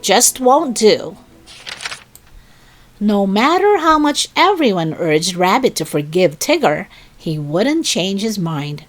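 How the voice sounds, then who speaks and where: A woman narrating a children's story in an expressive, deliberate read-aloud style. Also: medium pitch, faint music behind.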